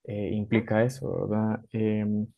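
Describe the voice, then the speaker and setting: A man interviewing a guest on a video call, speaking gently and softly with hesitant fillers. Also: on the low side, flat delivery, slow pace, gravelly, no room sound, phone-quality sound. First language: not a Mayan language speaker. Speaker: interviewer, host. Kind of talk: polite interview questions.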